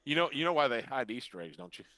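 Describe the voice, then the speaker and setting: A man's voice: medium pitch, sing-song, fast, pushed and bright, no echo. A middle-aged or older man riffing casually as a podcast co-host, full of wisecracks. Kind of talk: casual group chat, riffing.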